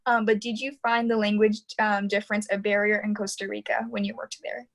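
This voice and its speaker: A woman's voice: mid-range voice, little pitch movement, a little fast. A woman hosting an online Q&A, reading out audience questions in a friendly way with frequent ums. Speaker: webinar host.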